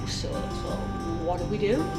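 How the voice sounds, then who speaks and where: A woman's voice: mid-range voice, lively intonation, a little slow, natural voice. A woman playing a bewildered, sceptical young office worker in an audio drama, blunt and questioning. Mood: astonished. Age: young adult. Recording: echoey with music underneath.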